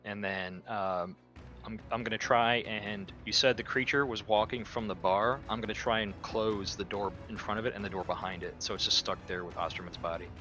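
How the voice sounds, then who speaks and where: A man's voice: fairly low pitch, steady tone, brisk, bright, forceful tone, muffled recording, faint music behind. A man talking casually as a player in a tabletop roleplaying game.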